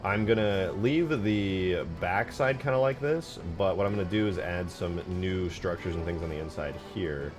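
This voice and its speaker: A man's voice: low-pitched, moderate pace, few pauses. A man chatting casually and informally on a livestream, replying to viewers in a slangy, self-deprecating way. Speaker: streamer, game modder. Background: background music.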